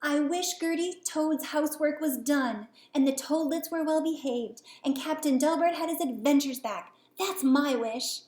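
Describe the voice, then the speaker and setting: A jumpy young bullfrog in a read-aloud storybook, with a high, squeaky voice that gets eager and fretful, as a woman puts him on. The sound is roomy with noticeable echo. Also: a little slow, hardly any pauses, a little mellow. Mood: hopeful. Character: excitable young male bullfrog.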